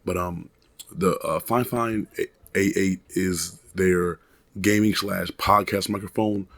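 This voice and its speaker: A hoarse man in his thirties rambles through a casual microphone review from his desk at home. His voice sits low with a gravelly fry, and the sound is close and dry with no room echo. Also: steady tone, takes it slowly. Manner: rambling, many restarts and fillers. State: hoarse, recovering from a cold. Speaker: product reviewer. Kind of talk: casual product review vlog. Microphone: desk condenser USB mic.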